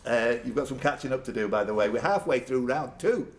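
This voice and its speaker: A man works as quizmaster in a pub, reading questions and tossing in jokey asides. His pitch moves about in an animated way at a middle register. There is some noise around him in the live room. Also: brisk. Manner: jokey, laughs at own jokes. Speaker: pub quiz host.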